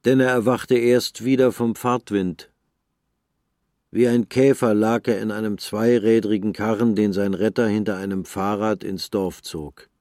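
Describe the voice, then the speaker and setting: Low-voiced man narrating a radio play. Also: mellow, no room sound, clean studio sound. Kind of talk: scripted literary narration for radio drama.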